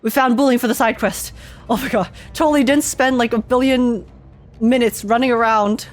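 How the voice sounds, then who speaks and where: A young woman giving casual, slangy and expressive game reaction commentary as a streamer. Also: a little high, normal speed.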